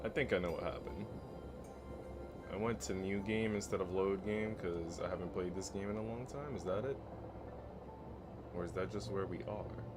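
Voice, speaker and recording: A man's voice: sits low, normal speed, pauses often, music in the background. A man livestreaming a game, chatting casually and informally with plenty of slang. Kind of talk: casual livestream chat, reading game narration aloud.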